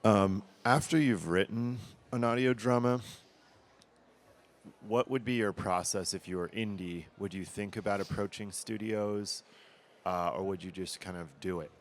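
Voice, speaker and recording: Man, lowish voice, audience member, casual questioning delivery. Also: a little slow.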